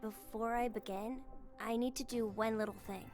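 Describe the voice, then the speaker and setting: A woman voicing a calm, earnest young robot in a video game, explaining in measured, deliberate phrases. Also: fairly high pitch, close to an everyday voice. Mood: resigned. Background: game music.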